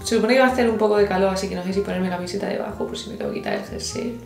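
A chatty woman in her thirties with a Spain accent talks casually to her viewers, explaining as she goes. Her pitch is average for a woman, and music plays clearly behind her. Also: racing. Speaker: vlogger. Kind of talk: casual chat to camera.

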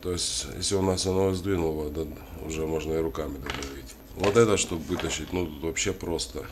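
A man with a cold giving a casual hands-on tutorial, halting and thinking aloud as he works. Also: extremely low pitch, steady tone. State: has a cold. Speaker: DIY tutorial host. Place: indoors at a wooden worktable. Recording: clearly noisy home recording.

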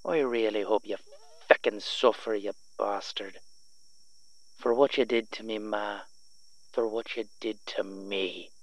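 An actor playing a smug, vengeful young half-vampire son, taunting theatrically in an audio roleplay. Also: male voice, medium pitch, a bit bright, no echo, muffled recording. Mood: bitter, vengeful. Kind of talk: audio roleplay villain monologue.

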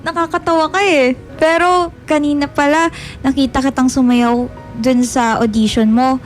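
A woman playing an easily scared, smitten high school girl in a playful, eager radio drama style. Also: steady tone, normal speed, natural voice. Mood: amused. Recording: music under the voice.

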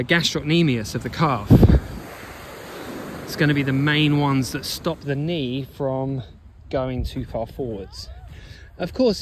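A man coaching runners in an off-the-cuff walking vlog, instructive and emphatic, repeating phrases as he explains, recorded outdoors on a phone. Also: medium pitch. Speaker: running coach and blogger. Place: outdoors on an open sandy beach. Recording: noisy outdoor beach ambience.

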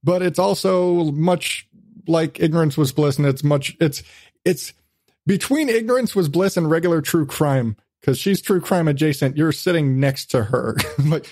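A man's voice: on the high side, moderate pace, darkish tone, no room sound. Man chatting casually, dry close sound. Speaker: podcast host. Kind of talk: casual conversational podcast chat.

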